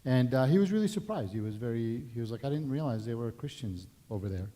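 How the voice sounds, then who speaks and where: A middle-aged man from Palestine with a Palestinian Arabic accent, sharing his personal story in a relaxed, conversational way with many fillers and restarts during a church service. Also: medium pitch, fast talker. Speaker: guest speaker. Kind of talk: personal testimony at a worship service. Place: church sanctuary. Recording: long church reverb.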